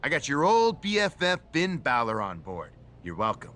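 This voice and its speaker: A man's voice: mid-range voice, wildly swooping pitch, normal speed, fairly bright tone. A man playing a glib, self-assured wrestling manager in a video game cutscene, persuasive and emphatic. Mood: smug, upbeat. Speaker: voice actor playing a wrestling show authority figure.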